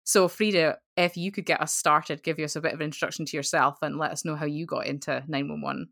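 Host: woman, low voice, dry close sound, chatty and warmly apologetic. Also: steady tone, quick pace, vocal fry, dry recording. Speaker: podcast host. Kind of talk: casual podcast chat.

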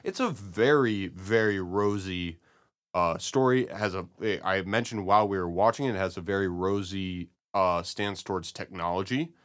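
A man co-hosting a podcast, discussing and reviewing in a casual, halting, analytical way. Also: sits low, a bit bright. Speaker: podcast co-host.

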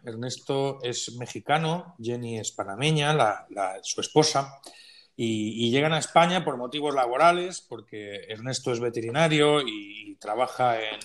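A man's voice: medium pitch, fairly bright tone. A man hosting a talk show, introducing a guest in a conversational way, often restarting his phrases. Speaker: host. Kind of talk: podcast hosting.

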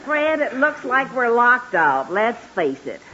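A woman's voice: on the high side, big pitch swings, slow, crowd noise. An American woman acting a fretful, fussy middle-aged wife and mother in a 1940s radio comedy. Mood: fretful, resigned. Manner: fretful, fussing. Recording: muffled hissy 1940s radio broadcast.

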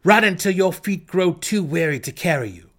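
A man voicing a menacing male dark elf warrior, imperious and threatening, in a fantasy storybook reading. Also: high voice, expressive, normal speed, pitched above the performer's own voice. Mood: threatening, shouting.

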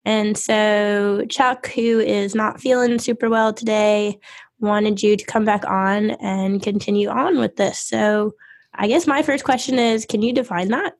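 A woman hosting a casual tech podcast panel, her voice a little hoarse from being sick, keeping things moving with friendly, quick introductions. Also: medium pitch, steady tone. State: hoarse from illness. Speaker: podcast host.

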